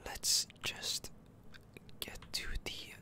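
ASMR gaming host, fully whispered, bright and slow, hesitant rambling delivery. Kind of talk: whispered ASMR game commentary.

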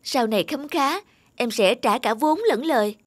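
Whiny, high-pitched lazy young peasant. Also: male voice, moderate pace, very noisy. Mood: pleading. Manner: plaintive, self-pitying whine. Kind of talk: cartoon voice acting.

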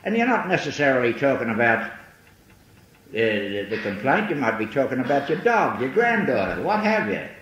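A man's voice: mid-range voice, sing-song, normal speed, a bit bright, muffled recording. Older Liverpool man, rambling testimony in echoey hall. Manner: rambling, self-mocking, full of hesitations. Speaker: speaker at a recovery meeting. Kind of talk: personal testimony talk. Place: large hall. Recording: echoey hall, faint hiss on old tape.

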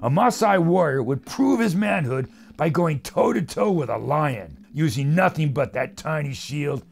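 Male, plucky pulp adventurer acted, high and lively with a gravelly creak, noisy scene ambience. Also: a little slow. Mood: eager. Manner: bold, folksy exclamations. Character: brash, plucky young adventurer hero. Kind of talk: old-time pulp radio drama acting.

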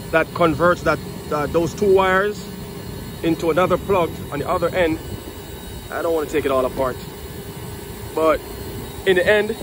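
A man walks through a repair step by step in a loose, casual way, dropping plenty of fillers as he works. His voice sits a little higher than usual. The phone recording is thick with outdoor noise and passing aircraft. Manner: casual, explains while working, many fillers. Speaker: HVAC technician and channel host. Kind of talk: hands-on troubleshooting walkthrough. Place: outdoors at a rooftop unit. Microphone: phone camera held in one hand.

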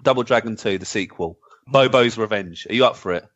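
A man's voice: fairly low pitch, fairly quick, fairly bright tone. A man chatting casually as a podcast co-host and reading out comments. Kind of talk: casual retro gaming podcast chat, reading out listener comments. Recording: dull, lo-fi voice recording.

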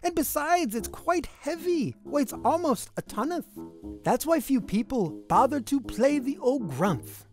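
A woman with a high voice reads rhyming verse aloud for children. Her delivery swings widely in pitch, with a sing-song storyteller's lilt. Light music plays along. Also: very animated, measured pace, darkish tone. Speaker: host reading a picture book aloud to children.

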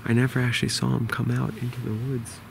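Man, 40s, New York accent, gravelly low voice, hushed outdoor vlog, faint background noise. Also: completely flat delivery, fast. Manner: quiet, low-key explaining. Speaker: bowhunter. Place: outdoors in winter woods. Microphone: camera held close to face.

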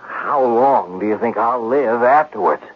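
An actor playing an exasperated, pleading, indignant nephew in a 1970s radio drama. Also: male voice, mid-range voice, animated, unhurried, gravelly. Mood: desperate. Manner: pleading, put-upon, indignant. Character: exasperated, whining nephew in debt, gambler. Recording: muffled, noisy vintage radio broadcast.

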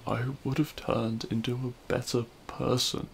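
A man playing a remorseful, self-loathing supervillain in an intimate audio roleplay, speaking in a halting, anguished way. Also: medium pitch, little pitch movement, vocal fry, a little hiss or hum. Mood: wistful regret. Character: remorseful male supervillain confessing love at a grave.

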